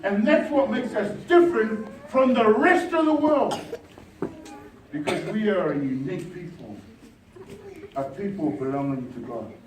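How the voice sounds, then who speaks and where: A male pastor with a high voice preaches a revival sermon, drifting between quiet remarks and rousing calls to the congregation. He sounds distant from the microphone, and the hall rings with echo. Steady background noise sits underneath. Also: measured pace. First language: Samoan. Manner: rousing, swings from soft asides to shouted exhortation. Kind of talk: revival sermon with bilingual asides. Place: church hall.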